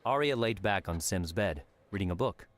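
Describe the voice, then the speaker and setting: A man's voice: sits low, animated, normal speed, slight background noise. A man narrating a fantasy adventure audiobook from a script.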